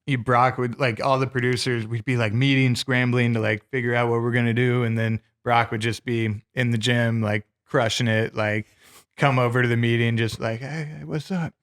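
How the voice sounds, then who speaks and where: A man's voice: mid-range voice, fairly even intonation, normal speed, fairly bright tone. A man co-hosting a podcast, chatting in an easygoing, agreeable way. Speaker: podcast co-host. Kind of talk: casual chat.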